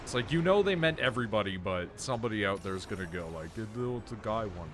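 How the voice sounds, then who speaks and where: Wry, animated man on a gaming call. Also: fairly low pitch, fast talker, noisy background. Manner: wry, jokey, animated comparisons. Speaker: gamer on a group voice call. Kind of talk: casual chat while gaming. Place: online voice call.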